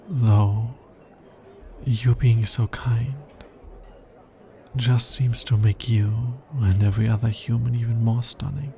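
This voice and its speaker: A man's voice: mid-range voice, mellow, slight background noise. A man playing an anxious, smitten alien scientist in an intimate ASMR roleplay, with hesitant, earnest and precisely formal speech. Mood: tender, smitten.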